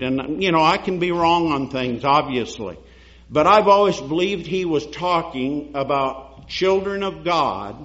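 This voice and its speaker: A man's voice: fairly high pitch, muffled recording. A man preaching a sermon, reading scripture aloud and building emphasis on key phrases. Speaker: pastor.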